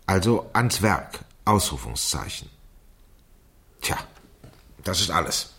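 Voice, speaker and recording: A sharp wry investigator type in an old radio mystery, lowish husky voice, very animated. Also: male voice, takes it slowly, heavily acted. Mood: wry, reading out. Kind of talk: 1970s German radio crime drama acting.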